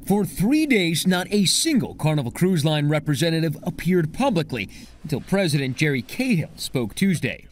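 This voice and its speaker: A man's voice: fairly high pitch, big pitch swings, moderate pace, slight background noise. A man reporting a news story as correspondent, with a dramatic, emphatic scripted delivery.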